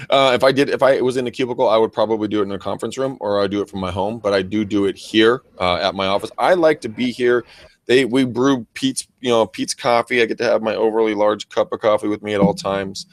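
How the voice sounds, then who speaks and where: Loud, slangy man, dry close sound. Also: on the low side, fairly even intonation, fairly quick, no echo. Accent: American. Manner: slangy, bro-ish, enthusiastic, swearing. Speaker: co-host of a real estate training show and agent. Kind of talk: casual unscripted show chat with shout-outs. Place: private office.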